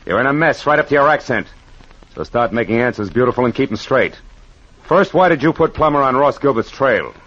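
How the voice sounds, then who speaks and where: An American actor playing a tough, wisecracking private detective in old radio drama, speaking in clipped, sardonic slang. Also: male voice, medium pitch, lively intonation, a little fast, a bit bright. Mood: tough, menacing. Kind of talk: 1940s-50s radio drama acting, hard-boiled narration. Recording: dull noisy vintage radio recording.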